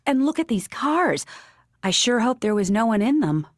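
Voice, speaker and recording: A woman reading both parts of a scripted textbook dialogue in a plain, even way. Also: on the high side, moderate pace, dry recording, studio quality. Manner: plain, even read-aloud delivery.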